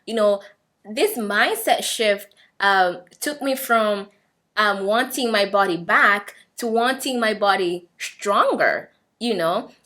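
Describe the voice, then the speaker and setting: A woman's voice: mid-range voice, takes it slowly, sharp tone. A young woman whose first language is Swahili talks casually and earnestly to the camera, encouraging listeners, with many fillers and restarts. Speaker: fitness vlogger.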